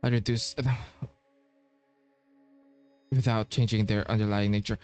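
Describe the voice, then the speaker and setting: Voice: mid-range voice, fairly even intonation, measured pace, darkish tone. A streamer giving casual, sweary, reactive gameplay commentary in a laid-back way. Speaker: streamer playing a game and reading lines aloud. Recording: game music behind the voice.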